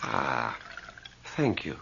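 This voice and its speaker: An actor playing an anxious, principled priest in a radio drama, speaking formally and with stern insistence. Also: male voice, very deep voice, wildly swooping pitch, extremely slow, gravelly, bright, forceful tone, slight background noise, full character voice. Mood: hesitant, polite. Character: anxious, principled priest confronting a stubborn woman. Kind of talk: 1980s radio drama acting. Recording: slightly dull 1980s radio recording.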